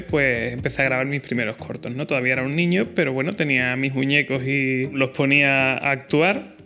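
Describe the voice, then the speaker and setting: A man's voice: mid-range voice, sharp tone. A man, hosting a solo podcast in an earnest, reflective way. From Spain. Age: 30s. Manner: earnest, reflective, with fillers and restarts. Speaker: podcast host.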